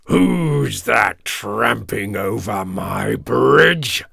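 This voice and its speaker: A man voicing a huge, ugly, menacing troll in a children's storybook, with gravelly, drawn-out, roaring speech. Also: on the low side, animated, a bit bright, no echo, studio quality, full character voice. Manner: slow, drawn-out roaring and grunting.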